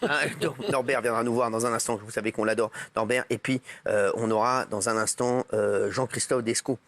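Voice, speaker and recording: A man's voice: mid-range voice, steady tone, fairly quick, a bit bright, a little hiss or hum. A man hosting a TV talk show, joking and teasing his panel in studio banter.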